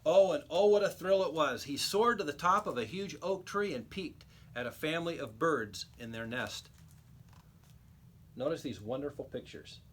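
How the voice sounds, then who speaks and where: A man reading a children's storybook aloud like a teacher, with expressive, emphatic storytelling. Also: on the high side, moderate pace, a little hiss or hum.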